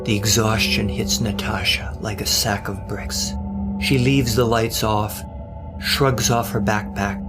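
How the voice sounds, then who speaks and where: A man's voice: lowish voice, steady tone, slow pace. Man narrating a wry thriller over music. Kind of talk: scripted audio drama narration.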